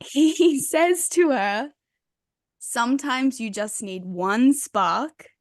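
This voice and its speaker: A woman's voice: sits high, lively intonation, drawn-out words, no echo, clean studio sound. A woman co-hosting a podcast, chatting casually in a rambling way, with lots of filler words and laughter. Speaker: podcast co-host.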